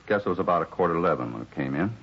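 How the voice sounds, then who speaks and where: A man acting a weary, put-upon bar employee in a 1950s radio drama, rambling along plainly in an American accent with a husky voice. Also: sits low, expressive, very fast, a little hiss or hum. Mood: shaken, earnest. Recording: muffled 1950s radio recording.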